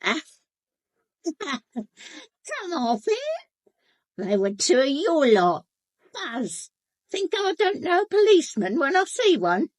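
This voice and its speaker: An actress playing a gossipy, blunt English housekeeper in a British radio drama, chatty, colloquial and confiding. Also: female voice, sits high, sing-song, slow pace, pauses often, no room sound, clean studio sound, exaggerated voice. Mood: knowing, gossipy. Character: gossipy, blunt, sharp-eyed housekeeper, a working-class woman. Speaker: actor in a radio murder mystery.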